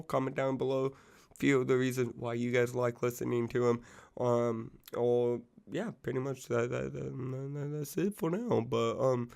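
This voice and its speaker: A man's voice: mid-range voice, gravelly. A young man in his twenties who is recovering from an illness and losing his voice, rambling casually about music with lots of fillers and swearing. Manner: rambling, loose, full of fillers and profanity. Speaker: music channel host.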